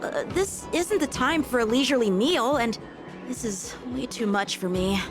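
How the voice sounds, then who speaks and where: A woman's voice: a little high, animated, normal speed, over music. A woman playing a small, earnest young boy swordsman in a game, speaking formally and urgently. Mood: anxious. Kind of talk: dramatic game voice acting.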